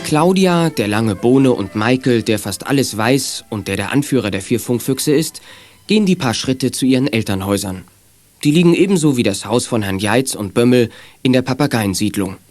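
A man's voice: medium pitch, lively intonation, moderate pace, darkish tone, soft background music, close to an everyday voice. A man narrating a children's detective radio play in scripted storytelling style. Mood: matter-of-fact.

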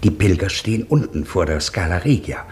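An officious pilgrim leader in a radio drama. His lowish voice moves up and down a lot. He is formal, deferential and easily flustered. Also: animated, fairly quick, darkish tone, a little hiss or hum. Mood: eagerly deferential. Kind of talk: radio play acting.

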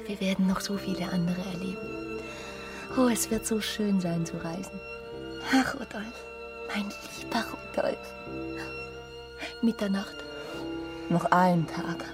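A woman's voice: mid-range voice, slow pace, pauses often, over music, close to an everyday voice. An actress playing a passionate, romantic married woman in a literary radio drama, intense and intimate, often close to whispering. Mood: rapturous.

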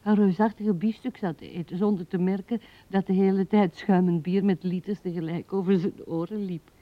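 Actrice als mijmerende oude weduwe, doffe droge opname. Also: female voice, medium pitch, steady tone, no room sound, natural voice. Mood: wistful amusement. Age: older. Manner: musing, halting, with breathy asides. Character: old widow musing tenderly to her dead husband. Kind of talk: radio drama monologue. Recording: dull, band-limited 1970s radio recording.

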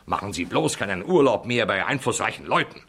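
Chief inspector, low husky voice, animated intonation, dry sarcasm, exasperated, crime radio play. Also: male voice, a little fast, full character voice. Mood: exasperated, scolding. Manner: sardonic, emphatic, questioning. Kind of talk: German radio play acting.

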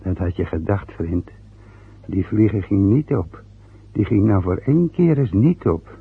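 Radio drama: a pompous old dad, raspy and grumbling, full of his own importance, on a muffled old recording. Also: on the low side, animated, measured pace, heavily acted. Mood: gloating. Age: older. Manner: boastful, grumbling, self-important. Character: aggrieved, self-important, boastful older father, former ambulance driver. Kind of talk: radio drama acting, long rambling monologues.

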